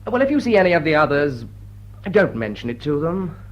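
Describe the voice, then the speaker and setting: An actor playing a suave, wry gentleman in a period drawing-room radio drama, drawling and wheedling with dry theatrical wit. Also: fairly high pitch, sing-song, fairly quick, highs cut off. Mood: charming, coaxing.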